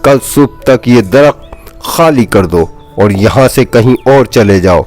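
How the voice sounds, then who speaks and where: A man's voice: mid-range voice, expressive, takes it slowly, darkish tone. A haughty male crow who bosses and threatens others, acted out by a male storyteller. He speaks like the bully of a children's storybook, with music playing behind him. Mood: haughty, menacing. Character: arrogant bullying male crow gang leader.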